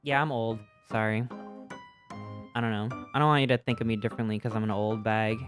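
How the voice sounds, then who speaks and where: A streamer in their thirties chatting casually over a video game, profane and slangy, with a self-deprecating, animated way of riffing. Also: mid-range voice, brisk. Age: 30s. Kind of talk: casual livestream chat over gameplay, reading and answering viewer comments. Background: game music.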